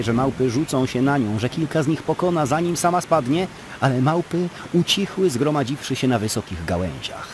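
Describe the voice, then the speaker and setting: A man narrating a scripted story as a dramatic, expressive storyteller in an audio drama. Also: on the high side, background noise.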